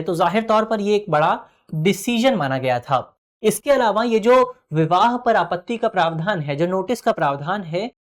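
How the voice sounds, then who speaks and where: Female explainer host, mid-pitched, methodical lecture delivery, restates key phrases for clarity. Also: a little mellow. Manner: methodical, restates points in simpler words. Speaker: educator presenting an explainer. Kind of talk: explanatory lecture on current affairs.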